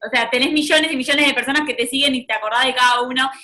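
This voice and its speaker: Excited interviewer lady, bright high voice. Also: very fast. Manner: enthusiastic, effusive.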